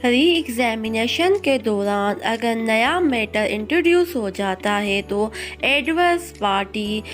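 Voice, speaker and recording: A woman law lecturer who reads out each English clause slowly and deliberately, then explains it more quickly. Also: fairly high pitch, animated, faint music behind.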